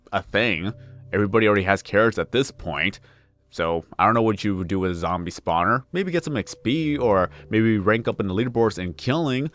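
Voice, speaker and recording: A young man with a deep baritone voice chatting casually over gameplay, rambling and correcting himself as he goes, pushing extra energy into his delivery. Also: fairly bright tone. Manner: rambling, self-correcting, repeats phrases; puts on extra energy for videos. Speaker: gaming YouTuber. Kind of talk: casual let's play commentary.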